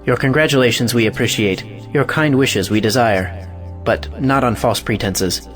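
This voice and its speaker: An actor playing a principled, unbending doctor, speaking formally and firmly in an audio play. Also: male voice, medium pitch, a bit bright, soft background music, close to an everyday voice. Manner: formal, measured, firm. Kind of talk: theatrical audio play acting.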